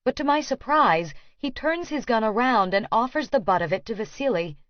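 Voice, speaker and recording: A woman's voice: on the high side, expressive, normal speed, no room sound, dull audio. A woman reading scripted first-person fiction as an audiobook narrator.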